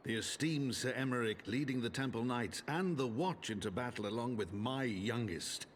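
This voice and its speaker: A man's voice: medium pitch, moderate pace, a little hiss or hum, lightly acted. An actor playing a dignified older lord and proud father in a video game, formal and courtly. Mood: gracious. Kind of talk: scripted video game cutscene acting.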